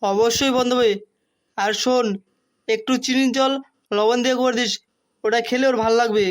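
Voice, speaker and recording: A man's voice: extremely high pitch, steady tone, normal speed. Bossy cartoon medicine seller, acted by a man. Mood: reassuring, bossy. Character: bossy older male village medicine shopkeeper. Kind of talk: comic cartoon voice acting.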